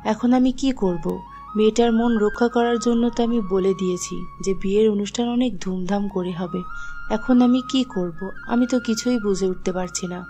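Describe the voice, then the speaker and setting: A woman's voice: medium pitch, normal speed, warm tone, faint music behind, lightly acted. A woman playing a poor, devoted, middle-aged widowed mother in a cartoon, earnest and plaintive. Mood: despairing. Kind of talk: scripted cartoon drama.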